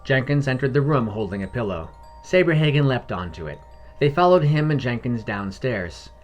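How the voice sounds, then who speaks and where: A man narrates a scripted fantasy tale as storyteller. His voice sits at a medium pitch, with music behind it. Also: animated, moderate pace.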